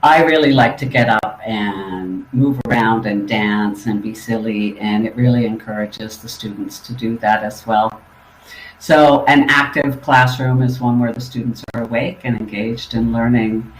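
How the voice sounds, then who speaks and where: A man, an ESL literacy teacher, giving a webinar presentation in a measured, explanatory way. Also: mid-range voice, fairly even intonation. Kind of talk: instructional webinar talk. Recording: roomy echo.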